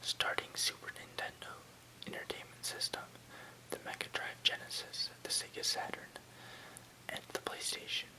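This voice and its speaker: An ASMR host reading an article aloud in a soft, breathy, unvoiced whisper, with the odd stumble over words. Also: moderate pace, low-quality recording. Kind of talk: whispered ASMR reading with brief casual asides.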